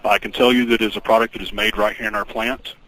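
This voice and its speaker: A man speaking as a company executive, answering questions on an investor conference call in a measured, formal, guarded way. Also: on the low side, level tone, a bit bright. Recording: noisy conference call recording.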